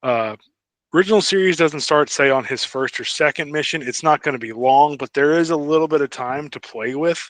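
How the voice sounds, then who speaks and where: A man's voice: fairly high pitch, brisk, fairly bright tone, no room sound. A man co-hosting a podcast, explaining details in a casual but slightly pedantic fan discussion. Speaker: podcast co-host.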